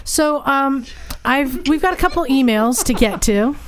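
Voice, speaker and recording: A woman's voice: high voice, lively intonation, measured pace, a crowd in the background. A woman co-hosting a motorcycle podcast, chatting casually and reading listener emails aloud with a playful, laughing manner. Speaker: podcast co-host.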